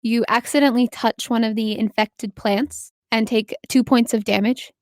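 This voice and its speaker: A woman with a fairly high voice runs a tabletop role-playing game as game master. She narrates off the cuff in a casual way and encourages her players. The recording is close and dry. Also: steady tone, normal speed, a little mellow, no echo. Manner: encouraging, affirming players with short praise.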